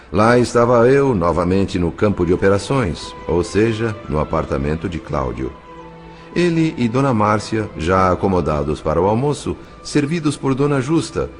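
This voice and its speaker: A Brazilian man playing a composed spirit narrator in an audio novel, speaking in a formal, articulate, measured way. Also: low voice. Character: composed male spirit narrator recounting his undercover mission. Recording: music behind the voice. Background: music.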